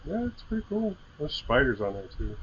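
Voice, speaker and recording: A man from Iowa co-hosting a podcast, telling stories in a measured, dry way. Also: mid-range voice, very animated, highs cut off, slight background noise. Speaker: podcast co-host. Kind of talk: casual chat.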